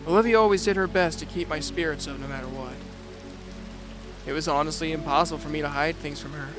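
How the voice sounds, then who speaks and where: Audio drama lead: dutiful young prince and heir played by a man, reserved and measured, narrating his own tale over music. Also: on the high side, natural voice. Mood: quietly resolute. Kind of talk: first-person audio drama narration with dialogue. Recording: music and rain behind the voice. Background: music and rain.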